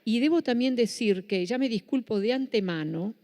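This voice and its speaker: Lecturer: woman, Buenos Aires accent, warm low tone, long hall reverb. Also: expressive, moderate pace. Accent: Buenos Aires Spanish. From Netherlands. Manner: self-correcting, with frequent restarts. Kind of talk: public lecture.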